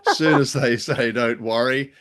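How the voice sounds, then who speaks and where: A man's voice: medium pitch, normal speed, a bit bright. A man co-hosting a podcast in casual chat, jovial and rambling, with hesitant phrasing and playful mock-dramatic asides. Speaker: podcast co-host.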